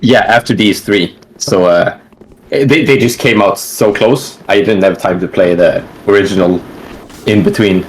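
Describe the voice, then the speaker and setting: Low-voiced relaxed gamer guest. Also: male voice, normal speed, slight background noise, low-quality recording. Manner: relaxed, rambling, lots of fillers and likes. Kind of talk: casual online interview.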